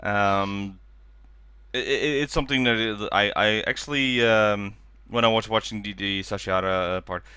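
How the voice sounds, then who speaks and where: A man with a smooth, somewhat low voice and a bright edge talks in a halting way, often pausing with fillers. He co-hosts a casual podcast from home. The sound is close and dry. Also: steady tone, no room sound. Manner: halting, full of fillers and restarts. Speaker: podcast co-host. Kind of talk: casual chat.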